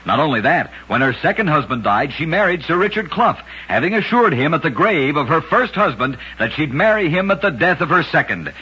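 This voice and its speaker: A man narrating short, strange tales as a radio host, reading a script with dramatic, deliberate delivery on an old recording. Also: on the high side, big pitch swings, sharp tone, husky. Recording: dull vintage radio recording.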